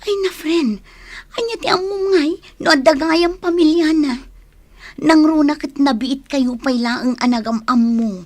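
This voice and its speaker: A woman's voice: high voice, measured pace, a little mellow, dry recording. An actress playing a woman who scolds and advises her best friend in a radio drama. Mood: chiding. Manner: chiding, advising.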